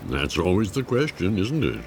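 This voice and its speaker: An actor playing a calm, cryptic, philosophical male witness in a video game drama, measured and enigmatic. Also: low-pitched, creaky, faint music behind, heavily acted. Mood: calm, knowing.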